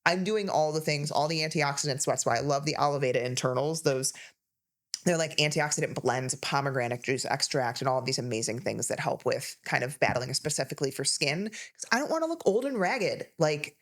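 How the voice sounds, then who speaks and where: A woman in her thirties co-hosting a health podcast, talking casually and listing symptoms rapidly with emphasis. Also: low-pitched, expressive. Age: 30s. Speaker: podcast co-host, health coach.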